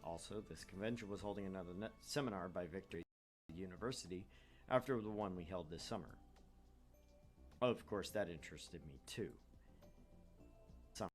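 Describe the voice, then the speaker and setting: Lower-voiced man reading a visual novel. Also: normal speed, long pauses, soft background music. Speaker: gaming show host. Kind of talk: reading visual novel aloud with casual commentary.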